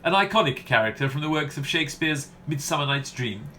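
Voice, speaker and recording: Pompous male novelist, acted by a man, radio comedy, florid, bright animated delivery, clear background noise. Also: fairly high pitch, fairly quick. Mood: grandly self-important. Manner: theatrical, florid, condescending. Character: pompous male mystery novelist, grandly self-regarding.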